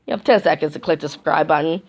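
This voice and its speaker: Hoarse woman vlogger losing her voice. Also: low voice. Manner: rambling, halting, many restarts. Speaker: YouTube vlogger. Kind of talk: casual vlog chat to camera.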